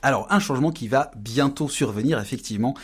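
A man's voice: mid-range voice, fast talker, slightly rough. Man explaining, full of fillers. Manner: explanatory, frequent fillers and repeats. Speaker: radio columnist on European affairs. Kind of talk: informative radio segment.

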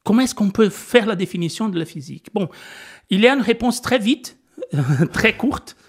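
A man's voice: high voice, lively intonation, normal speed, slightly rough. A middle-aged Brazilian man with a Portuguese accent, speaking French as a guest in a radio interview, hesitant with many fillers and restarts. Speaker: physics lecturer, guest.

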